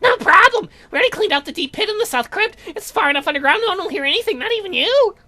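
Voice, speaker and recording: A ghoulish, man-eating young lady cousin acted out by a man from a horror game. The voice is extremely high and bright, rattling along quickly with gleeful, theatrical eagerness. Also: lively intonation, soft background music, full character voice. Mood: gleeful, eager.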